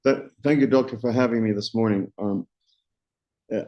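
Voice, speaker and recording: A South African man around sixty, a company founder answering interview questions in a measured way, often restarting as he searches for words. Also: on the low side, fairly even intonation. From Johannesburg, South Africa. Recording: dull lo-fi recording.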